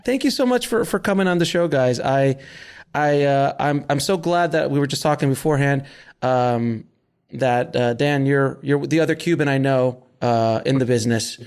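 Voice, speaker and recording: A man's voice: mid-range voice, normal speed, darkish tone, clean tone. Man, podcast host, from South Florida, chatty, laughs a lot, restarts sentences. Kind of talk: casual interview chat.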